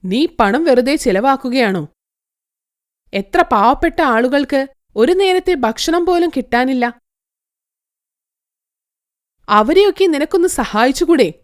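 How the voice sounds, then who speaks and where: A woman voicing a wise magic tree in an animated story who lectures in a calm, measured way. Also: sits high. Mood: lecturing.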